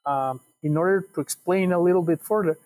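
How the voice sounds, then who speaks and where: A young man from Ecuador with an Ecuadorian Spanish accent explains research as an interview guest, in a measured way, often repeating words as they go. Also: high-pitched, a little mellow. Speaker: guest researcher, PhD student.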